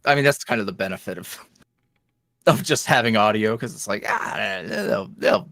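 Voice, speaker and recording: A man talking casually as a podcast guest, in a rambling, animated, self-deprecating way. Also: fairly low pitch, normal speed, no echo, studio quality. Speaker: podcast guest, ASMR roleplay voice creator. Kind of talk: casual interview chat.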